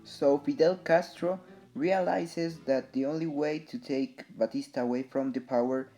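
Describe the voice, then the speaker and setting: Mellow guy w a reading history narration, guitar music behind him. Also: on the high side, fairly even intonation, a little slow. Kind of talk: scripted narration of a student-style history newsreel. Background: guitar music under the voice.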